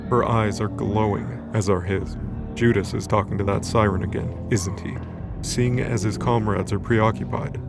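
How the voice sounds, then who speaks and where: A man's voice: on the low side, creaky. A man reading scripted, dramatic narration for an audio drama story. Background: background music.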